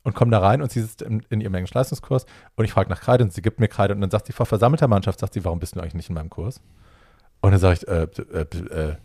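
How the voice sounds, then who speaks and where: A young adult man telling a personal story in casual chat, acting out the dialogue with lively energy. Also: fairly low pitch, very fast, no room sound, clean studio sound.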